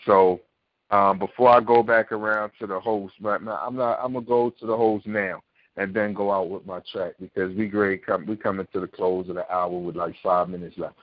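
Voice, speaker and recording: A man's voice: deep voice, no room sound. A man hosting a call-in radio talk show, laid-back and slangy as he wraps up the hour. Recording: dry, dull lo-fi audio.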